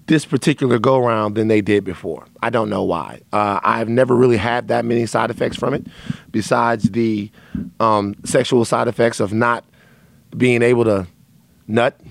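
A man hosting a podcast, talking candidly in a loose, rambling way with a raspy voice from a dry throat. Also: medium pitch, no echo. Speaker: podcast host. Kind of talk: casual monologue to listeners.

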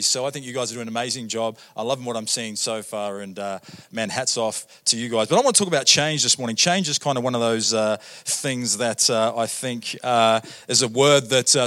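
A man in his thirties with a New Zealand accent preaches a relaxed, joking sermon as a visiting pastor in a church. His voice sits in a middle pitch, and he leans on fillers and "right?" tags as he talks to the congregation. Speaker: guest pastor. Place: church auditorium.

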